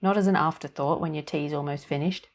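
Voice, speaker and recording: A woman's voice: deep voice, fairly quick. A woman voicing a nagging, belittling demon perched on someone's shoulder in a storybook reading. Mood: scolding. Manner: scolding, belittling. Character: nagging critical male-ish demon on shoulder.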